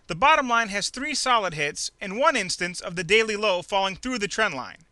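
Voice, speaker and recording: A man reading a scripted instructional narration in a clearly enunciated, measured, teacherly way. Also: high-pitched, lively intonation, sharp tone, no room sound. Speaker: narrator of an instructional trading video. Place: close dry voice-over recording.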